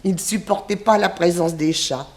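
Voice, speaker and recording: An actress in a 1960s French radio detective drama playing a kindly, talkative old former nanny, with a homely, fondly reminiscing manner. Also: female voice, on the low side, normal speed, darkish tone, natural voice. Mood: chatty, proud. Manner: homely, reminiscing, sighing exclamations.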